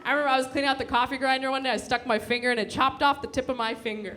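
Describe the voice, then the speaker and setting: Young female stand-up, American accent, high voice, casual and sweary delivery, recorded live with a very loud, noisy audience. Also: fairly even intonation, very fast, a crowd in the background. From grew up in San Diego, USA. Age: young adult. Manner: casual, slangy, profane. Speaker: stand-up comedian. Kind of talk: stand-up comedy set with acted-out dialogue. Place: live comedy show before an audience.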